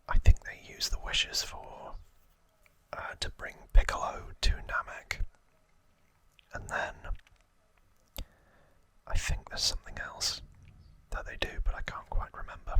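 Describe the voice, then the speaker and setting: A man whispering an ASMR trivia recap in a breathy voice, informal and a little hesitant, as if recalling it from memory. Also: bright, forceful tone. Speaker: ASMR host.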